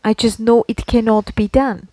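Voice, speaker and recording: A woman's voice: on the high side, steady tone, moderate pace, dark tone. A woman co-hosting a casual podcast, pushing back argumentatively with the odd mild swear word. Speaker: podcast co-host. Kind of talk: casual argumentative banter between co-hosts.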